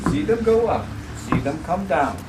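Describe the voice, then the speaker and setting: An actor playing a holographic clown street barker with formulaic, sing-song sales patter in a radio play. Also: male voice, sits high, very animated, moderate pace. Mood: sing-song hawking. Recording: loud background noise with clicks.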